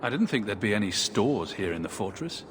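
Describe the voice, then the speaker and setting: An actor playing a young refugee hero in a video game, earnest, direct and questioning. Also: male voice, fairly low pitch, big pitch swings, a little fast, background noise.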